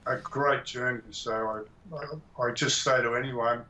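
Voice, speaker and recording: A man's voice: mid-range voice, fairly even intonation, unhurried, pushed and bright. A middle-aged retired man giving a plain, modest testimonial with hesitant fillers. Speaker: course student. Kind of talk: testimonial on a call. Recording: dull lo-fi call audio.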